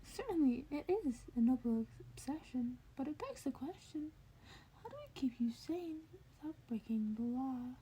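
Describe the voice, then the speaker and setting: A woman's voice: high-pitched, sing-song, moderate pace, warm tone, slight background noise, voice pushed up, poor audio. A woman voicing a small, furry male creature, a polite and eagerly curious mentor with formal, inquisitive phrasing in an audiobook-style story. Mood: measured, thoughtful.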